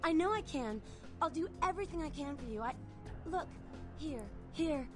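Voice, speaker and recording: Eager, high-voiced, needy young woman. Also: expressive, moderate pace. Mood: pleading. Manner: breathless, pleading, hesitant. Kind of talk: video game voice acting. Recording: music behind the voice.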